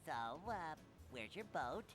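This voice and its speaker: An actor voicing an excitable, naive young sea sponge hero in a cartoon game, squeaky, nasal and eager. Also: slow pace. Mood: curious. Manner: eager, exclamatory, wide-eyed. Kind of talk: cartoon video game acting. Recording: music behind the voice.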